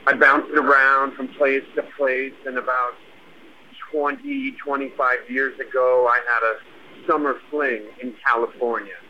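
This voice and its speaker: A hissy, muffled voicemail from a weary, paranoid American punk rocker, acted by a man. The aging man speaks in earnest, halting phrases, in audio drama style. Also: medium pitch, natural voice. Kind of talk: audio fiction voicemail monologue. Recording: muffled noisy phone voicemail.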